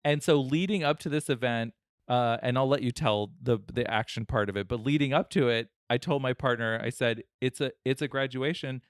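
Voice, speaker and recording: A man's voice: mid-range voice, fairly quick, no room sound, studio quality. A man co-hosting a casual podcast chat, telling stories with lots of laughter and restarts. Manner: animated storyteller, laughs often, many restarts. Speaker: podcast co-host.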